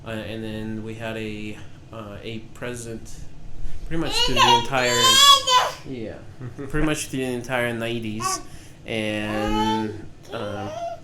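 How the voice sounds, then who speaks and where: A young man from Peru chatting on a podcast, talking with many pauses and fillers. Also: lowish voice, huge pitch swings, very noisy. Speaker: podcast co-host. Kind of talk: casual chat.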